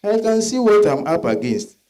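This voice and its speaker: A man with a West African accent playing a married suitor, smooth and insistent, in a dramatized stage reading. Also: high-pitched, big pitch swings, normal speed, warm tone, poor audio. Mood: probing, jealous. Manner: persistent, questioning.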